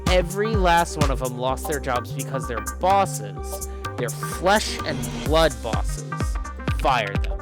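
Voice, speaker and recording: A man's voice: mid-range voice, lively intonation, slow. A man voicing a shrewd, sardonic female defense lawyer in a visual novel, dry, probing and teasing. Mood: cynical, dry. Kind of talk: acting out visual novel dialogue on stream. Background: loud game music.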